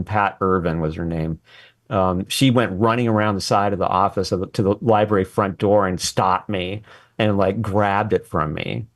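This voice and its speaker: A man talking as an interview guest, telling a personal story conversationally and acting out bits of remembered dialogue. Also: lowish voice. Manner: acts out quoted dialogue while reminiscing. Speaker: interview guest, former research assistant and scholar. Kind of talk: animated anecdotal storytelling in conversation.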